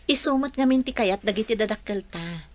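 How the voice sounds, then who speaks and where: An actress playing an eager young bride-to-be with an animated, questioning manner in a radio drama. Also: female voice, medium pitch, a little fast, no echo, natural voice. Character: young bride-to-be, eager, sweet on her fiancé.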